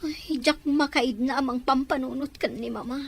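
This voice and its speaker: A woman's voice: sits high, fairly even intonation, moderate pace, crowd noise. A woman playing an anxious, worried daughter in an audio drama. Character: anxious daughter searching for her missing mother. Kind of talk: amateur audio drama acting.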